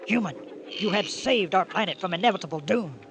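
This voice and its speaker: A man's voice: sits high, lively intonation, fairly quick. A man voicing a solemn alien leader with stilted, precise, formal speech in a comic science fiction radio play. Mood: solemn gratitude. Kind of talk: comic sci-fi radio acting. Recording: noisy radio drama recording.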